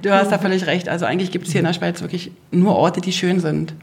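A middle-aged woman from Berlin with a Berlin accent, chatting in a lively, talkative way about her home. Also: fairly low pitch, quick pace, crowd noise. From Berlin, Germany. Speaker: host to guests. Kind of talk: casual chat.

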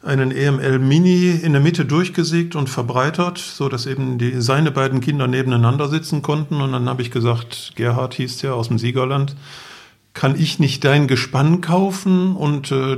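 Middle-aged man with a gravelly edge. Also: medium pitch, steady tone, moderate pace. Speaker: podcast guest, motorcycle traveller. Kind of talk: informal storytelling in a podcast.

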